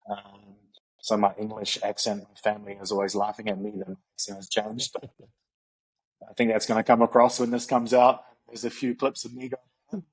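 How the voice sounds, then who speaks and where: A man's voice: fairly low pitch, husky, rough recording. A man, a coach talking casually in an interview, rambling and reflective with many fillers. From New Zealand. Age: 30s. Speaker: guest, strength and conditioning coach.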